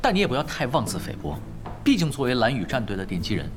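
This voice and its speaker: A man's voice: medium pitch, huge pitch swings, fast talker. A man acting a shrewd, unflappable veteran gamer in a radio drama, easygoing and dryly teasing with mock modesty. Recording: studio voice over clear background music.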